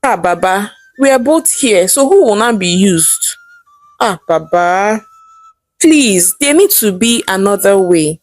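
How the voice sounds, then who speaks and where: A woman with a Nigerian accent voicing an anxious, persuasive husband in a folktale, pleading with drawn-out words. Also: medium pitch, lively intonation, soft background music. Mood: afraid, despairing.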